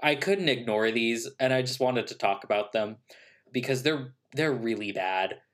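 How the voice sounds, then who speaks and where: A man's voice: medium pitch, normal speed, a bit bright. A man hosting a podcast in an informal, joking way, peppering his talk with "like". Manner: informal, joking, filler-heavy "like". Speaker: podcast host. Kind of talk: casual chat, introducing news stories.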